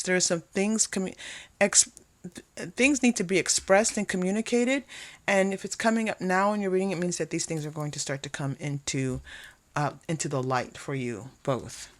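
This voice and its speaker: A woman doing an unscripted tarot card reading, musing aloud and repeating phrases for emphasis as she addresses the viewer. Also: mid-range voice. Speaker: tarot reader.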